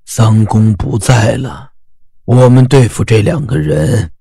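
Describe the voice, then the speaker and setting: A man's voice: lowish voice, expressive, takes it slowly, gravelly, warm tone, husky, no room sound, studio quality, full character voice. A man playing a weary, worried veteran military officer in a period audio drama. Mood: sighing, worried.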